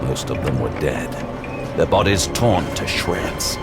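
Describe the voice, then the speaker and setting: A man's voice: very deep voice, lively intonation, unhurried, gravelly, loud music behind the voice, exaggerated voice. A man playing a grave, intense demon hunter, narrating his own battle in a suspenseful audio drama. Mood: grim, shaken. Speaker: lead character and narrator. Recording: loud dramatic score and effects behind.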